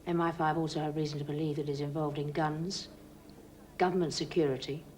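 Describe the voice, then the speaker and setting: A woman's voice: sits low, fairly even intonation, brisk, darkish tone, slight background noise, close to an everyday voice. An actress playing a stern female investigator who presses a suspect with threats. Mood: pressing. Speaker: security officer questioning a suspect. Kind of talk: 1980s TV drama acting.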